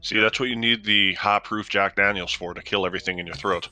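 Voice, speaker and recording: A man's voice: lowish voice, fairly even intonation, a little fast, bright, forceful tone, faint music behind. A man chatting casually as a fellow player on a gaming stream. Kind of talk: casual chat while gaming.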